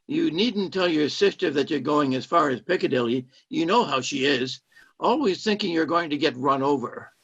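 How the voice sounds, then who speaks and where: An actor in a radio play playing a controlling, secretive saboteur who poses as a kindly cinema owner and gives insistent orders. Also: male voice, fairly high pitch, lively intonation, normal speed, vocal fry, a bit bright, breathy edge, exaggerated voice. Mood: insistent, urging. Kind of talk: British-set radio play acting. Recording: dull lo-fi streamed audio.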